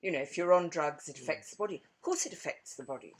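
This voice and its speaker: A woman's voice: deep voice, expressive, quick pace, slightly rough. A woman giving a thoughtful, conversational comment from the floor at a conference. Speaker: conference participant.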